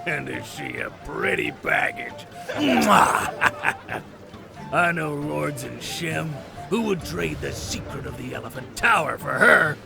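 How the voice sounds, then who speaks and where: Gravelly, swaggering fantasy rogue. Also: male voice, a little high, huge pitch swings, slow pace, music in the background, exaggerated voice. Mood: gloating boastful. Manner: bawdy, mocking, theatrical bluster. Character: boastful, lecherous fat Kothian rogue and kidnapper. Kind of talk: fantasy audio drama acting.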